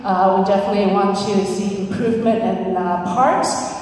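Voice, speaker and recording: A woman gives a candidate pitch, halting and full of fillers, her voice mellow and soft-edged. The sound is distant and drenched in the long echo of a large hall. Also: fairly even intonation. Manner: hesitant, many ums and uhs. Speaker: park board candidate. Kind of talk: candidate speech at a public meeting. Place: community centre hall. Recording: echoey hall from far off.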